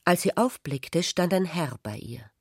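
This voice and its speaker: An actress narrating a literary radio drama as a troubled, wounded woman, measured and introspective. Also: on the low side, lively intonation, slightly rough, no room sound, studio quality, full character voice. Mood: pensive.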